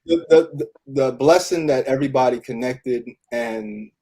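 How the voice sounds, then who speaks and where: A man speaking casually as an interview guest, halting and repeating words as he gathers his thoughts. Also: mid-range voice, a crowd in the background. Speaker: interview guest, cast member. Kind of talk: casual conversation.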